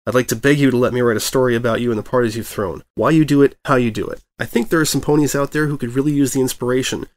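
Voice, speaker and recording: A man's voice: medium pitch, fairly even intonation, racing, few pauses, lightly acted. Man as an earnest, sheepish reporter pony. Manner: apologetic, hesitant, sincere.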